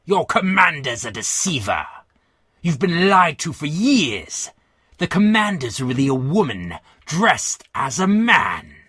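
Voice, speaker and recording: Husky, ranting disgraced villain played by a man. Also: fairly high pitch, big pitch swings, slow pace, no room sound, clean studio sound, exaggerated voice. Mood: outraged, defiant. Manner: shouting accusations, drawn out. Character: disgraced male plotter, bitter, ranting, defiant. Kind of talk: fan audiobook character acting.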